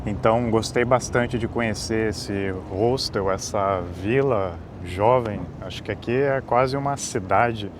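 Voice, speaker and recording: Relaxed low-voiced male vlogger. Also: normal speed, hardly any pauses, noisy background. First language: Portuguese. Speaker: travel vlogger. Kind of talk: casual vlog narration.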